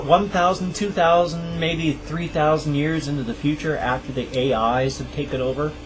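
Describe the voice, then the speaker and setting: A man's voice: on the high side, low-quality recording. A middle-aged man hosting his own channel, chatting casually to camera in a rambling, self-deprecating way. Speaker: channel host. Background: guitar music.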